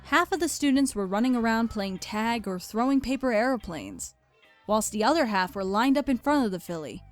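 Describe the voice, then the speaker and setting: A woman's voice: on the high side, big pitch swings, normal speed, soft background music. A woman reading scripted story narration.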